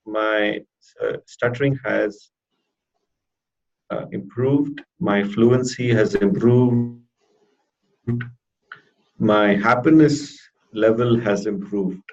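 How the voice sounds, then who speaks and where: A man with a stutter giving a halting, deliberate personal testimonial as a therapy client in an interview. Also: on the low side, creaky, a little mellow. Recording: dull lo-fi audio.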